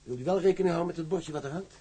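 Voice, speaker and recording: Quick, mellow officious usher. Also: male voice, a little high, breathy edge. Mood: formal, officious. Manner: formal, fussy politeness. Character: officious, polite exam usher. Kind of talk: Dutch radio drama acting.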